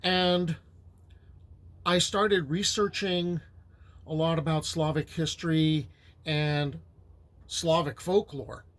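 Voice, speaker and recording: Slow, rambling older man. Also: a little high, fairly even intonation, fairly bright tone. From United States. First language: Ukrainian. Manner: rambling and conversational, with asides. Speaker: history storyteller. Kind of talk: casual spoken history talk.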